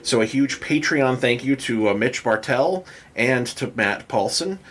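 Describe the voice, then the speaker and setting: A man hosts a casual podcast in a bright, clear-cut voice of average pitch. He talks informally, with a joking and self-deprecating manner. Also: expressive, measured pace, a little hiss or hum. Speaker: podcast host.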